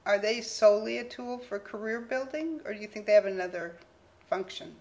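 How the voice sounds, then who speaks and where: A woman with a mid-pitched voice and a gravelly edge, putting measured, polite questions to her guest. She speaks evenly as an interviewer. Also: a little mellow.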